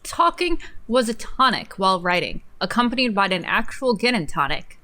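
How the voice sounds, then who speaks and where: A young woman with a bright, sharp voice reads aloud dramatically as a livestream co-host. Her pitch sits in the middle range for a woman, and she is indoors. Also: big pitch swings, normal speed. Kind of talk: dramatic reading of an article on a livestream. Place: indoor room, livestream set-up.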